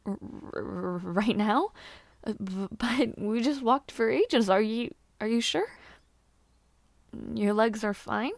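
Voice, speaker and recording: Woman acting a slow-paced, bashful young noblewoman. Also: fairly high pitch, lively intonation, vocal fry, no echo, exaggerated voice. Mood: flustered, shy. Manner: bashful, halting stammers, giggly, formal old-fashioned wording. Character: young noble lady, aspiring knight, bashful and playful. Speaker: roleplay voice actor. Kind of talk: intimate scripted audio roleplay.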